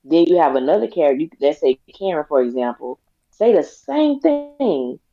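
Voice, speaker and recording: A woman with a raspy voice, co-hosting a casual discussion podcast and talking in a deliberate, emphatic way. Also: low voice, big pitch swings. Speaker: podcast co-host. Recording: dull, lo-fi sound.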